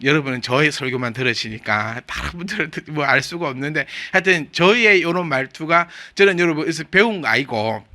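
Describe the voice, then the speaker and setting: A man's voice: on the high side, lively intonation, brisk, few pauses, bright, forceful tone. A male pastor with a Gyeongsang Korean accent gives a chatty, humorous sermon and keeps asking his congregation questions. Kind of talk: conversational sermon.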